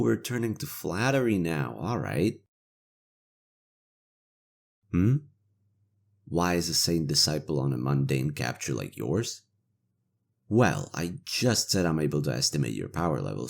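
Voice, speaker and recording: Man acting a very deep, gravelly, weary interrogator knight. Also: a little mellow, full character voice. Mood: sardonic, rueful. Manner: sardonic, world-weary, profane, conversational. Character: weary, cynical but conscientious male imperial knight interrogator. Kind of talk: roleplay audio drama monologue.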